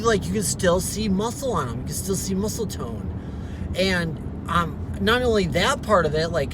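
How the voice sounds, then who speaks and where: A man in his late thirties talking casually in a fitness vlog, rambling with lots of fillers and restarts. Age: 30s. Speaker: fitness vlogger, former personal trainer. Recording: loud car and road rumble.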